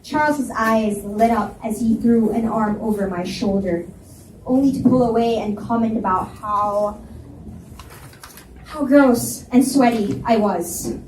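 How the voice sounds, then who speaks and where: A woman's voice: fairly high pitch, unhurried, darkish tone. Woman reading a story in an echoey room. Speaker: reader narrating a story. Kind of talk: live first-person story narration with dialogue. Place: indoor reading event at a library. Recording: echoey room, distant mic, faint noise.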